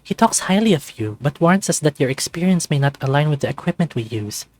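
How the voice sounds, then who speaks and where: A man voicing a brisk, friendly but probing female lab supervisor in a visual novel. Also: on the high side, lively intonation.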